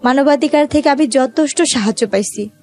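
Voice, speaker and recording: A woman's voice: high-pitched, moderate pace, darkish tone, soft background music. A woman with a Bangladeshi accent telling her own life story as an interview guest, in colloquial, conversational speech.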